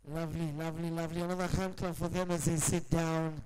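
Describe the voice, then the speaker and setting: Upbeat emcee in a busy hall. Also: male voice, a little high, level tone, quick pace, a little mellow, slight background noise. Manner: upbeat, jokey, coaxing applause. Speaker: event emcee. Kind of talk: conference emcee patter. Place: large exhibition hall.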